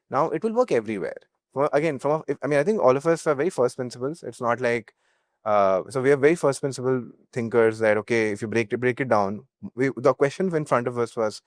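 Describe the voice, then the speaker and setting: A young man with an Indian accent answering as a startup founder, candid and halting as he repeats words. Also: medium pitch, no echo, studio quality. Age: young adult. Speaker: startup founder being interviewed. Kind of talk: conversational interview.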